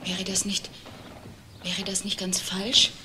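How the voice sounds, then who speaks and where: A woman's voice: mid-range voice, completely flat delivery, slow pace, noisy background, natural voice. An actress playing a hesitant, shaken girlfriend in a crime drama, speaking tentatively and sparingly. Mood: quiet, uneasy.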